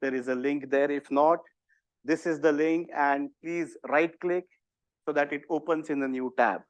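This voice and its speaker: A man's voice: fairly high pitch, fairly even intonation, moderate pace, dry recording, dull audio. A man with an Indian accent teaching a workshop, explaining in a conversational way with many fillers and restarts. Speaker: workshop instructor. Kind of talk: lecturing to a workshop audience.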